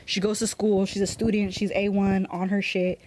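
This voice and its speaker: A woman's voice: mid-range voice, flat delivery, normal speed, a little mellow, a little hiss or hum, rough recording. A young woman tattoo artist talking casually as a podcast guest, slangy and profane as she tells personal stories. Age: young adult.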